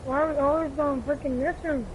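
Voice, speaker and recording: High-pitched young gamer voice, noisy mic. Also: fairly even intonation, brisk, highs cut off. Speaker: video game player talking with teammates. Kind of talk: casual multiplayer gaming chatter, callouts to teammates. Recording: clearly noisy gaming mic recording.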